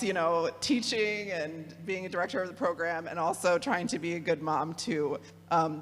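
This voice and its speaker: A woman's voice: on the low side, a bit bright. Midwestern woman speaking in echoing hall. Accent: American Midwest. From Michigan, USA. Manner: friendly, chatty, frequent ums and laughs. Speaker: guest speaker, theologian. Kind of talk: casual, personable lecture. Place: parish hall or church. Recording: long reverb of a church hall.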